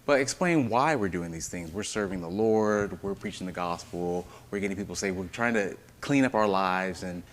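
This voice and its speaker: A man, a pastor on a panel, speaking hesitantly with restarts and trailing off more softly. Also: low-pitched, normal speed, hardly any pauses, a little hiss or hum. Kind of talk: casual advice talk.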